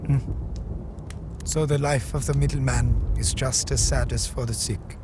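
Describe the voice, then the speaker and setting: An actor playing a sick, wary desert outcast who speaks in terse, solemn, formal phrases in a post-apocalyptic audio drama. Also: male voice, mid-range voice, steady tone, unhurried, close to an everyday voice. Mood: subdued, resigned. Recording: loud ambient noise behind the voice.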